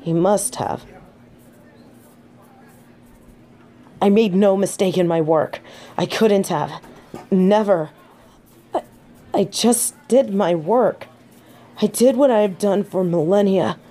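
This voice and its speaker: A woman's voice: mid-range voice, unhurried, pauses often, mellow, a little hiss or hum, lightly acted. A woman playing a snobby, centuries-old fallen angel in denial, speaking in formal, pompous phrasing in a scripted audio roleplay. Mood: defensive, distraught. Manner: formal, precise, pompous diction. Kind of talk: scripted character roleplay monologue.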